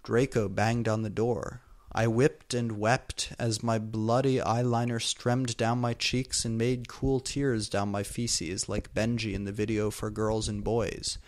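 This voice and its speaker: A man's voice: on the low side, little pitch movement, a little slow, few pauses. A man reading fan fiction aloud for comedy, with a theatrical, exaggerated delivery.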